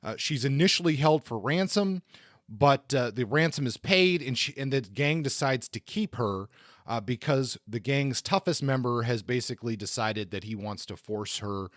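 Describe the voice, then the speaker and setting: A man's voice: medium pitch, lively intonation, normal speed, no echo. A man with a mid-range voice talks as a podcast host. His delivery is casual and a little hesitant as he works through his thoughts, and the sound is dry, with little room to it. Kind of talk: casual podcast talk and book review.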